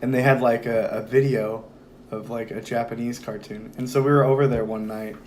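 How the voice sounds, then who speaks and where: Hoarse young dude rambling on casually, lots of ums and likes, mid pitch. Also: male voice, a little fast, a little hiss or hum. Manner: relaxed and rambling, many fillers. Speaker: podcast guest. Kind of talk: casual conversation.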